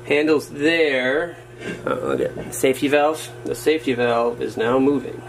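A man's voice: medium pitch, expressive, a little slow, vocal fry, a little hiss or hum, poor audio. A man casually talking through a hands-on workshop project, muttering to himself as he works and making wry asides about his own mistakes. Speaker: hobbyist showing a workshop project. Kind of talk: casual how-to narration while working. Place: indoors at a wooden workbench or floor. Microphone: camera framed on his hands over the work.

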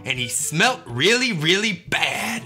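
A high, bright man reads a picture book aloud with wild swings of pitch, goofing around for kids. He is in his thirties, hosting from an indoor studio with faint music underneath. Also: sing-song, takes it slowly. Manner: playful, bursts into loud high donkey brays. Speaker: children's book reader. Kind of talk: comedic read-aloud. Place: indoor studio with yellow couch.

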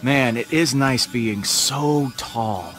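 A man's voice: mid-range voice, takes it slowly, over music, natural voice. A man playing a cocky, laid-back young space outlaw leader in a video game, flippant and wry.

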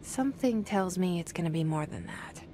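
Actress as low-voiced, wry young woman. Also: lively intonation, normal speed, mellow, slight background noise, close to an everyday voice. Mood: dry, wary. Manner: dry, understated, introspective. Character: wry, guarded young woman, reluctant new agency director. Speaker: protagonist, new director of an agency. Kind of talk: inner monologue in a video game.

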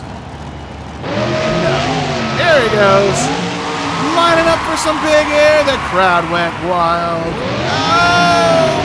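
A commentator giving excited play-by-play of a video game, with exclamatory, drawn-out interjections. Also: sits high.

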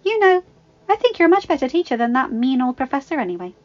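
A high, eager teenage girl from a storybook, voiced by a woman narrator. She is cheeky and loving, with an anxious streak. The sound is slightly muffled, with music underneath. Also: sing-song, fast.

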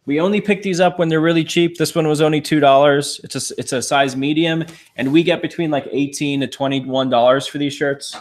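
A man's voice: a little high, steady tone, fairly quick, few pauses. A man in his thirties co-hosting a casual livestream from a living room couch, talking upbeat while showing items to the camera. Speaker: reseller livestream co-host. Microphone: fixed camera across the room.

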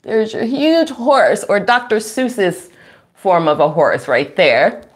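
A middle-aged woman reads aloud as a children's storytime host. Her pace is extremely slow and deliberate, with a pitch that swings widely. She stresses words in a sing-song way, exclaims often and puts questions to her young listeners. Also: animated. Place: small room at home, indoors.

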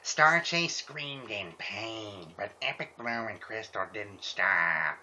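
A man's voice: on the low side, lively intonation, takes it slowly, pushed and bright. A man hosting a casual group fan fiction reading, talking and narrating on a computer mic. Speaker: host. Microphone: computer microphone on group voice call. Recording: muffled computer mic with background noise.

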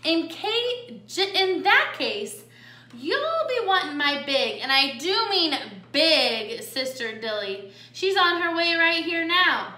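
Storybook part: woman as vain middle armadillo sister, sassy, bold, high and forceful, roomy recording. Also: sing-song, takes it slowly, heavily acted. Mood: bluffing, bold.

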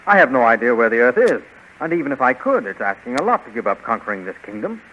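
An actor playing a bold, stubborn young American adventurer in a 1930s radio serial, earnest and reassuring. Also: male voice, medium pitch, big pitch swings, fast, close to an everyday voice. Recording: noisy, dull 1930s radio recording.

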